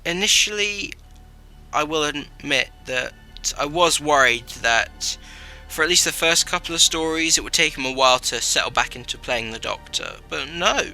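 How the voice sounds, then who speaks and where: Casting: man for review voice-over, crisp and very bright, light music bed underneath. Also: a little high, lively intonation, moderate pace. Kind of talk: scripted video review narration. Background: faint music.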